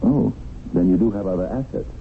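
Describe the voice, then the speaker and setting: A man acting in a radio drama as a measured, questioning gentleman, on a muffled vintage recording. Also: lowish voice, creaky, a little hiss or hum. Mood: probing, surprised. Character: measured man probing a newly impoverished acquaintance.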